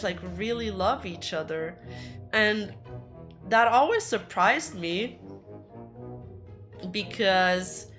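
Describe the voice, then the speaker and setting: Hoarse woman streamer, unhurried rambling chat, faint music underneath. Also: mid-range voice, a bit bright. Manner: chatty, rambling, easily laughing. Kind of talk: casual livestream chat with viewers.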